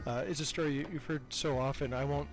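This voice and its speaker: A man's voice: mid-range voice, steady tone, fast, over music, poor audio. An older man chatting casually as a podcast guest, talkative and self-deprecating. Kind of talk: casual interview chat.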